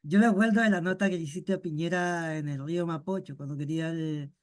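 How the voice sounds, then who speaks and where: A man's voice: on the high side, fairly even intonation, a little fast. A Chilean man asking questions as an interviewer in a casual conversation.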